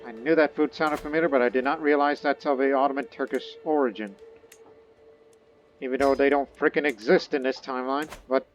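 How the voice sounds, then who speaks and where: A man's voice: medium pitch, fairly even intonation, a little fast, soft background music. A man thinking aloud and reading game text in casual, rambling gameplay commentary. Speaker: gaming video host.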